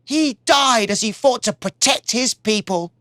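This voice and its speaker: A woman voicing a dutiful male royal messenger who reports news in a high, formal voice. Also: animated, a little slow, fairly bright tone, dry recording, clean studio sound. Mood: grave.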